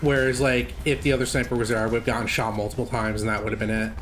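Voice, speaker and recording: A man's voice: mid-range voice, flat delivery, racing. A man giving casual live commentary as he plays through a game speedrun. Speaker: gaming streamer, speedrun commentator. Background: game music and sound.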